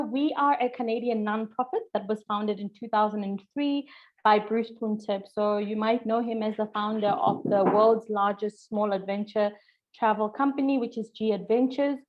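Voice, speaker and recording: A woman from Zimbabwe, a programs manager, giving an upbeat, chatty webinar presentation with lots of fillers. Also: medium pitch. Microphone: video call. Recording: dull lo-fi video call audio.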